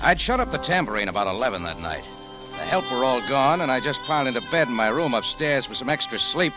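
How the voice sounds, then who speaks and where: An actor playing a tough, defiant American cafe owner with clipped, wry delivery in a vintage radio noir. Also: male voice, medium pitch, very animated, a little fast, lightly acted, low-quality recording. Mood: terse narrating. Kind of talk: 1940s radio noir narration and acting. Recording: old radio recording with music.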